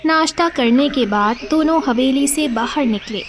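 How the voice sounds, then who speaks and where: A woman's voice: sits high, normal speed, soft background music. A woman giving scripted narration of a moral story as its storyteller.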